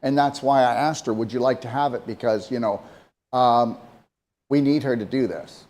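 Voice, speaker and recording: A man's voice: mid-range voice, normal speed, darkish tone. Emphatic rambling male co-host. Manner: emphatic, rambling, repeats himself. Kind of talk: casual podcast talk.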